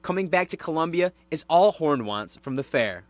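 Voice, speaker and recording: A man's voice: on the high side, animated, moderate pace, slight background noise. A man working as a TV news reporter, delivering scripted broadcast narration and live reports.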